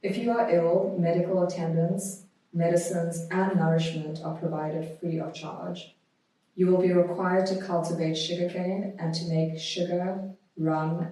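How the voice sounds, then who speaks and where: A woman guest on a podcast, talking conversationally and reading aloud in a measured, deliberate way. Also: on the low side, mellow. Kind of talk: conversational interview, reading a historical notice aloud. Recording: very echoey room, distant microphone.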